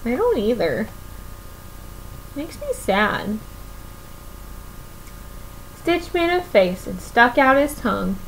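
High, animated young woman reading aloud. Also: slow, gravelly, pauses often, a little hiss or hum, rough recording. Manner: expressive, asks listeners questions. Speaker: children's story reader. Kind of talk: reading a picture book aloud to children. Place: small room at home, indoors.

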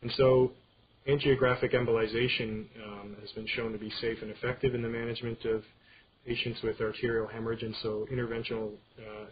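A man with a fairly low voice gives an informal medical lecture over slides. He explains in a halting, stop-and-start manner. The recording is somewhat dull, with faint noise behind him. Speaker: radiology lecturer. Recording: dull screen-capture audio with clear background noise.

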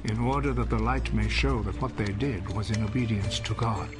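A man narrating a biblical film in a measured, solemn, scripted style. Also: on the low side, fairly even intonation, lightly acted. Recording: film soundtrack with music behind. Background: music.

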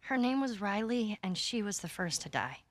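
A vulnerable American teenage girl in a cinematic game drama. She is plain-spoken, at a middling pitch, recorded close and dry. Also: very animated, moderate pace, dry recording. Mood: sad, hesitant. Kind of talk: cinematic video game acting.

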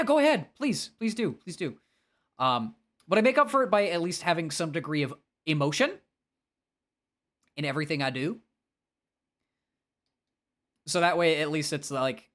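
A man's voice: a little high, fairly bright tone. A man with a nasal voice, talking casually and expressively as he livestreams a game and answers chat, often self-deprecating.

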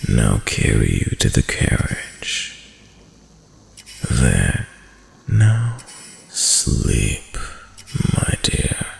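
A man playing a dominant, seductive villain prince who uses dark magic, slow and silky in an intimate audio roleplay, often dropping to a whisper. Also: huge pitch swings, a little hiss or hum, full character voice. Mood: soothing, hypnotic. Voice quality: very deep, gravelly, breathy, often whispering. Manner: slow, commanding, silky, lingering pauses.

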